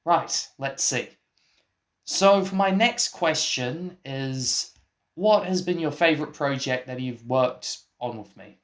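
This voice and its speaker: An exasperated male interviewer, acted by a man in a comic radio play. He speaks slowly and questions everything with dry disbelief, like someone trying to get sense out of a nonsensical guest. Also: medium pitch, lively intonation, fairly bright tone. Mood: resigned. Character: exasperated, baffled male interviewer and film producer. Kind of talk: scripted comic mock interview.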